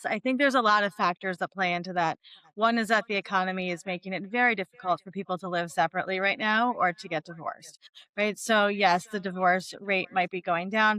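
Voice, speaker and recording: A woman's voice: medium pitch, steady tone, brisk, pushed and bright, no echo, rough recording. A woman speaking as a divorce expert guest, keen and thoughtful in her answers. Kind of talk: casual panel chat.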